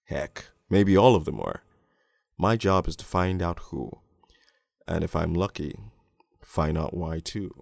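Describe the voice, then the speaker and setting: A man playing a crude, cynical police detective, narrating a crime storybook in the first person in a gravelly, world-weary, deliberate way. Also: sits low, animated, a little mellow, dry recording, clean studio sound, full character voice. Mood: wry, sardonic. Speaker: narrator and lead voice actor in an animated storybook.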